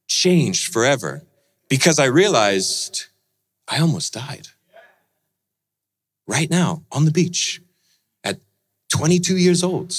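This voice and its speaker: A man preaching as he tells a dramatic personal story, swinging between lively and hushed delivery. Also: mid-range voice, slightly rough. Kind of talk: sermon storytelling.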